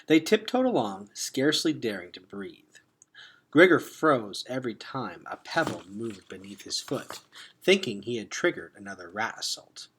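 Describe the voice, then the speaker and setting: A man reading a children's adventure novel aloud like a teacher, expressive and dropping into hushed tones for suspense. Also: fairly low pitch, normal speed, slight background noise, low-quality recording. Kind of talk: dramatic read-aloud narration of a children's novel.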